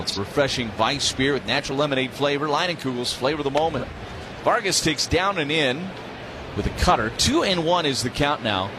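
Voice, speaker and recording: An American man calling a baseball game live on the radio as play-by-play announcer. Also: a little high. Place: stadium broadcast booth during a game. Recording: clear crowd noise behind the voice. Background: ballpark ambience.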